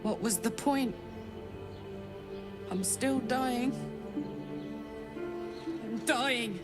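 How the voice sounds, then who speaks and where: Fierce wounded warrior, female, slow-paced, sardonic, raw, sweary, video game drama, clear music underneath. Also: fairly high pitch. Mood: bleak, despairing. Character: fierce betrayed warrior woman, dying, bitter and blunt.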